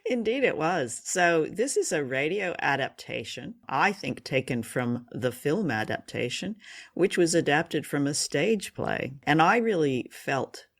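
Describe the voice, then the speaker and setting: A woman's voice: low voice, expressive, a little slow. A woman podcast co-host in casual review chat.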